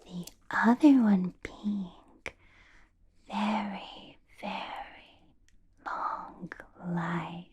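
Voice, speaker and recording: A woman voicing a possessive, coolly menacing vampire boss in an ASMR roleplay, whispering slowly and deliberately with musing hums. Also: medium pitch, a little hiss or hum. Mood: coolly probing. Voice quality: soft, breathy whisper. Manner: slow, deliberate, drawn-out pauses, musing hums.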